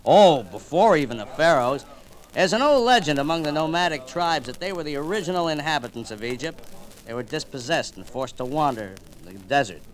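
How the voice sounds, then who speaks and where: A man's voice: a little high, lively intonation, a little fast, natural voice, low-quality recording. An actor playing a chatty, slightly tipsy male newspaper reporter in a 1940s radio drama, slangy and easygoing. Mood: chatty, musing.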